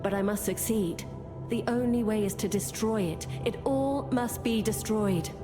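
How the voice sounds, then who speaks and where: A woman plays a long-suffering, resolute video game character who guards a grim secret. She speaks solemnly and cryptically in a measured, mid-pitched voice, with clear music behind her. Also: natural voice. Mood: weary, resolute.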